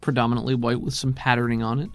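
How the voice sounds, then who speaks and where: A man runs a tabletop role-playing game with measured, descriptive narration and casual asides. His voice sits at a middle pitch, with soft music behind it. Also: flat delivery, no echo. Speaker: game master. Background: background music.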